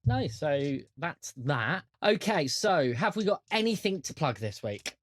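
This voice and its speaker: A man's voice: a little high, very animated, unhurried. A man with a British accent, game mastering and chatting casually on a tabletop roleplay show.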